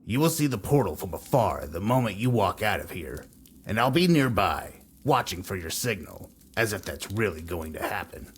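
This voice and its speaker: A low, raspy man's voice for a video game rebel guide. He is skeptical and sardonic, delivering his lines with open distrust. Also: big pitch swings, moderate pace. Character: skeptical, sarcastic resistance man, guide. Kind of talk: video game cutscene acting.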